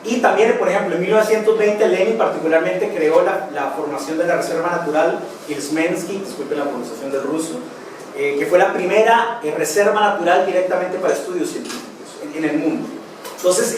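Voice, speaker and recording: A man from Costa Rica delivers a political lecture, his throat sore and his pitch somewhat high. He talks in a measured way with many fillers and restarts. The mic sits far from him in a very reverberant room with clear background noise. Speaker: conference speaker. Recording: echoey hall, distant mic, clear noise.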